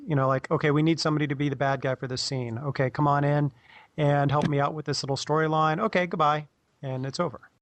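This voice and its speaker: A man's voice: on the high side, dry recording. A man talking casually as a podcast panelist, acting out bits of imagined dialogue to make his points.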